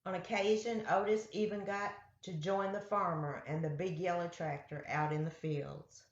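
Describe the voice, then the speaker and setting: A woman reading picture books aloud to young children as a storyteller, with deliberate, simple phrasing. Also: fairly low pitch, fairly even intonation. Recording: roomy, echoey, dull lo-fi sound.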